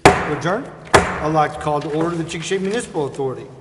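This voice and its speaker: A man chairing a city council meeting, reading agenda items in a measured, formal way. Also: fairly high pitch, animated, a bit bright. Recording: echoey council chamber with faint noise.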